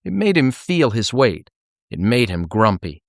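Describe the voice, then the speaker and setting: A man narrating a children's novel as an audiobook, with expressive storytelling delivery. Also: mid-range voice, measured pace, gravelly, dry recording, studio quality.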